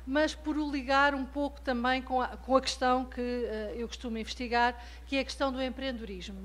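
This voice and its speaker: Portuguese-accented female keynote lecturer, high voice, measured delivery with many fillers. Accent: European Portuguese. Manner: measured, with frequent hesitant fillers. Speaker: guest lecturer. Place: lecture hall.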